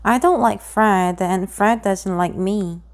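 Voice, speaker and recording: A woman reading simple grammar example sentences aloud in a slow, deliberate way, pausing between each one. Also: mid-range voice.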